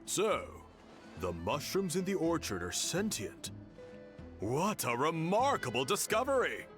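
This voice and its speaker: An actor playing a calm, thoughtful and reassuring tribesman, over game music. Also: on the high side, a little slow.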